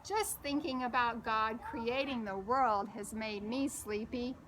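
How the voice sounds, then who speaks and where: Storybook big-cousin girl, voiced by a woman reader: high, slow, gently explaining, playful. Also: noisy background. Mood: patient, explaining. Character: playful, patient older girl cousin telling stories.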